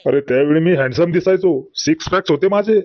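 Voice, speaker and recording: Man voicing old village braggart, highish voice, earthy scolding talk, cartoon comedy, dull dry recording. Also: a little fast, natural voice. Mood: boastful. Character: boastful old village man, grieving, exasperated, telling tall tales.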